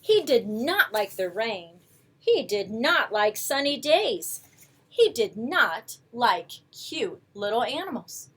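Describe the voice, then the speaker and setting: Slow, expressive woman reading to children. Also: on the high side, slightly rough. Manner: expressive, coaxing asides to young listeners. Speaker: children's storyteller. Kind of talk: read-aloud picture book storytelling for young children.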